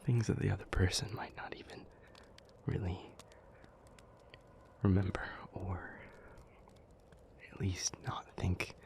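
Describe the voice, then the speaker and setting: A deep, hushed male demon, acted by a man, weary and gentle. He speaks slowly and haltingly, earnest and protective, as in an intimate audio drama. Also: flat delivery, full character voice. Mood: wistful, reflective. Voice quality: breathy, whispery, low. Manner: slow, halting, earnest, with long pauses. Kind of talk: intimate scripted audio roleplay.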